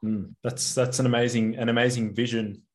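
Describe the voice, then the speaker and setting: Male podcast host, mid-pitched, relaxed questions full of likes and ums. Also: normal speed. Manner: loose, filler-heavy questioning. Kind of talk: casual interview questions.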